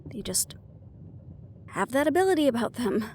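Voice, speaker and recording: A woman's voice: on the high side, sing-song, moderate pace, a little mellow, slight background noise. A woman playing a worried, wry half-banshee in an intimate fantasy audio roleplay, confiding and musing as she talks. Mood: wistful, resolute. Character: young female half-banshee siren, worried, wry, secretly vulnerable. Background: background music.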